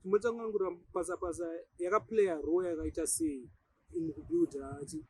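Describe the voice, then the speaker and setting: A Shona-speaking man with a Zimbabwean accent, putting casual interview questions in a halting way, repeating words as he forms them. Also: high voice, dark tone, rough recording.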